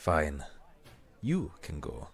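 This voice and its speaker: Give this to a man voicing a brooding young tough in an audio drama. The voice is extremely deep and full of gravel, curt in delivery and menacing, with a short temper. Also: huge pitch swings, slow pace, heavily acted. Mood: irritated. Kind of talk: fan audio drama acting.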